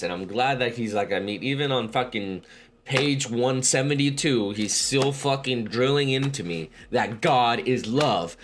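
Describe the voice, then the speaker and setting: A man reading a book aloud as a read-along host, with informal, slangy commentary. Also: mid-range voice. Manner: profane, informal asides with bro slang.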